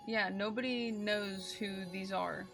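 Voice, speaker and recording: A woman streamer giving casual, slangy, excitable commentary on a game playthrough, swearing freely. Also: medium pitch, slow pace, creaky, faint music behind.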